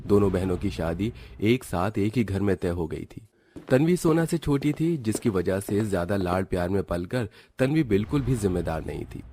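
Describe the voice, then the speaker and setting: A man's voice: deep voice, dark tone, a little hiss or hum. A man reading scripted narration for a cartoon family story.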